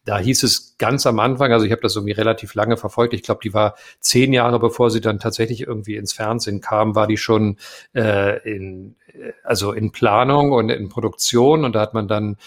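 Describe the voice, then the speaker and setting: Gravelly-voiced man, hesitant. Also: lowish voice, steady tone, fairly quick. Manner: hesitant, many filler sounds. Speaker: voice actor guest. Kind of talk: casual interview talk.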